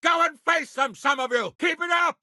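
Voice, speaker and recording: A man playing a blunt, hot-tempered middle-aged medieval lord in a video game, with a raspy, gravelly voice and an authoritative manner. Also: very high voice, moderate pace, pushed and bright, dry recording, clean studio sound, heavily acted. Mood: battle shouting. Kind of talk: video game character acting.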